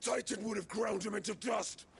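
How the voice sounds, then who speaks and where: Vengeful wounded game warlord: high, strained gravelly roar, seething and explosive. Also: male voice, fairly even intonation, moderate pace, slight background noise. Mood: seething rage. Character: enraged, vengeful, wounded warlord roaring threats. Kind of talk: dramatic video game acting.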